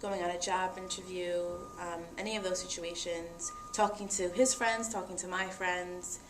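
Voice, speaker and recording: Young woman, lowish voice, calm and earnest, talking in a roomy space with a little hiss. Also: moderate pace. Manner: earnest, steady and reflective. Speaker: interviewee. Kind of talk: personal testimony in conversation. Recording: echoey room with faint noise.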